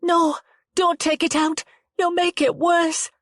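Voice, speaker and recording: A woman voicing a desperate young woman who turns shrill and tearful when upset. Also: little pitch movement, slow, a bit bright, dry recording, studio quality, heavily acted. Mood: panicked sobbing.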